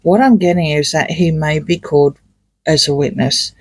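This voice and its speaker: A man with a croaky voice, doing a rambling, hesitant tarot reading full of fillers and restarts. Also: on the high side, normal speed, no room sound, studio quality. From Australia. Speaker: tarot reader. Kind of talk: casual tarot reading monologue.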